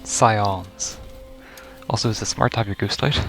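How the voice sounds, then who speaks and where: A man's voice: on the low side, a little slow, soft background music. A man giving casual live reaction commentary as a gaming video creator.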